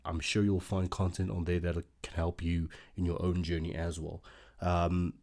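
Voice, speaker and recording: A man's voice: low-pitched, little pitch movement, normal speed, darkish tone. A man, an Afrikaans speaker, hosting a casual reaction video and thinking aloud with lots of fillers.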